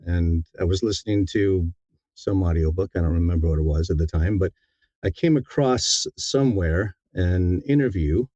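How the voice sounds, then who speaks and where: Low, mellow middle-aged man, dry sound. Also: dry recording. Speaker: interview guest, audiobook narrator. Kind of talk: casual conversational storytelling. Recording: slightly dull, dry audio.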